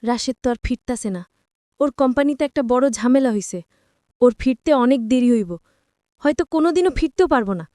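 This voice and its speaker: A woman's voice: high voice, animated, a little fast, dark tone, no echo, clean studio sound. A woman voicing a possessive, manipulative young former lover in a story, with a Bangladeshi Bengali accent and a controlling manner.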